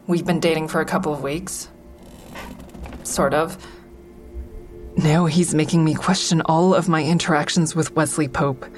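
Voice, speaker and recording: An actress plays a sardonic woman comedian in an audio drama, with a low-pitched voice. She is guarded and defensive, narrating her private thoughts in a wry, confessional way. Faint music runs underneath. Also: steady tone, normal speed, long pauses, close to an everyday voice. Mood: wistful, unsure. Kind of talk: full-cast audio drama acting with first-person narration.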